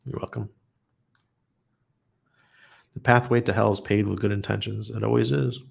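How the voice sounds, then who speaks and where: Livestream host, male, low gravelly voice with some huskiness, blunt and chatty, laughs easily. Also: steady tone, moderate pace. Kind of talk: casual livestream chat, reading viewer comments.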